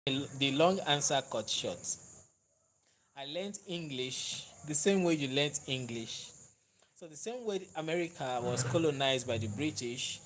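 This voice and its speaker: A man's voice: a little high, measured pace, a little hiss or hum, low-quality recording. A Nigerian man whose first language is Yoruba, hosting a live stream in a casual, conversational way and reading out viewer comments. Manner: conversational, warm thanks to viewers, laughs easily. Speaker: livestream host.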